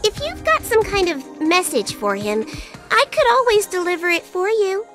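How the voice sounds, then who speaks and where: A woman's voice: extremely high pitch, very animated, normal speed. A woman voicing a tiny, cheeky fairy companion in a video game, blunt and flippant with breezy, teasing delivery. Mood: brisk, challenging. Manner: breezy, teasing, casual wording with sharp mood swings. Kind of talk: video game cutscene voice acting. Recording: music behind the voice.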